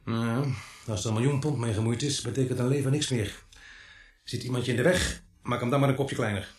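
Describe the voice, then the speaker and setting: An actor playing a brusque, shrewd police sergeant in a radio play, with a gruff, bossy way of explaining things. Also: male voice, medium pitch, a little fast, echoey room, lightly acted. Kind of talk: Dutch radio play acting.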